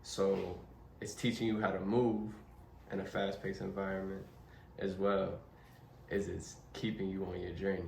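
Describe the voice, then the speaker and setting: Low, gravelly, flat-toned interviewer. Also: male voice, measured pace, slight background noise. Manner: summarizes guest's answers back, leading questions. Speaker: interviewer host. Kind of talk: interview questions. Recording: roomy, distant mic with faint ambience.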